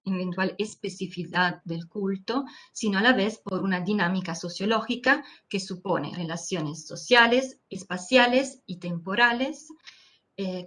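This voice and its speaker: A woman with an Italian accent gives an academic lecture in a second language over a video call. Her delivery is careful and measured, with frequent hesitant fillers as she searches for words, all at an ordinary middle pitch. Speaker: anthropology lecturer.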